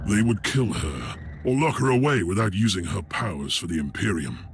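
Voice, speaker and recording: An actor playing a self-assured fanatical renegade in a video game, measured, formal and quietly menacing. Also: male voice, fairly low pitch, big pitch swings, vocal fry, a little hiss or hum. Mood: fervent, assured. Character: calm, fanatical male renegade, coldly courteous and self-assured. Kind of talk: theatrical video game voice acting.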